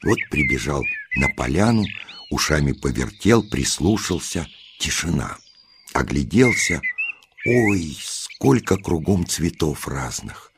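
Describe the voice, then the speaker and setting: A man narrating a children's nature tale as a storyteller, in expressive, measured short phrases with pauses. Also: very deep voice, noisy background. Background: music.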